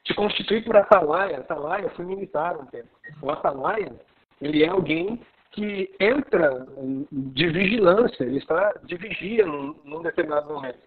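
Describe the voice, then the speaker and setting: A man from southern Brazil with a Gaúcho accent, talking keenly on a live video call. His voice sits high and sounds husky, and the line is thin and tinny like a phone. He teaches with rising excitement and stresses his points. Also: normal speed. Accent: Gaúcho Brazilian Portuguese. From Rio Grande do Sul, Brazil. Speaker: pastor.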